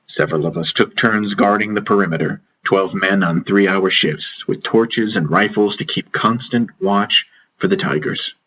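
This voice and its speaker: A man's voice: deep voice, normal speed, hardly any pauses, bright, forceful tone, poor audio. A man reading a scripted first-person story as an audiobook narrator, with music behind him. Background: background music.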